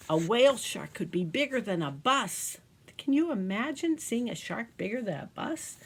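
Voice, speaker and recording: A woman's voice: mid-range voice, gravelly, a little mellow, slight background noise. A woman reading aloud to young children as a storyteller, speaking simply and expressively and often asking them questions.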